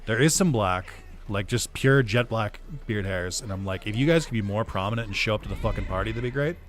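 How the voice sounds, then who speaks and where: A man's voice: on the low side, brisk. A young man from the northeastern United States, streaming a game and chatting in a rambling, joking way with some swearing. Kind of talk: casual gaming chat. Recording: game music behind voice.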